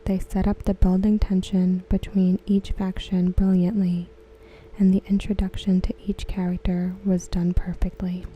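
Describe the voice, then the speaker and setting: Very mellow, softly spoken ASMR woman. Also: medium pitch, completely flat delivery, muffled recording, slight background noise. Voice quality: soft, breathy, near-whispered. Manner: gentle, hushed, measured delivery. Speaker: ASMR host. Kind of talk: softly spoken ASMR read-aloud of forum comments.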